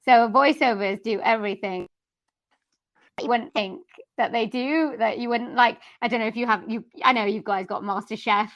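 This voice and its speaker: A woman's voice: a little high, normal speed, a bit bright, no room sound. Woman, Welsh accent, voiceover guest, chatty, lots of fillers, dry close sound. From South Wales, UK. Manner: chatty, filler-laden explaining. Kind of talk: casual interview chat.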